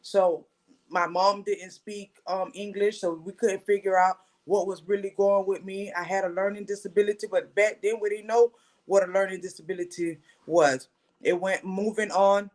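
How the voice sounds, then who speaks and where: Thirties woman, Haitian Creole native, guest telling her life story with earnest pauses. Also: mid-range voice, steady tone, darkish tone. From Haitian family, raised in Florida. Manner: earnest, pausing, self-correcting. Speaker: podcast guest. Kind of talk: personal storytelling.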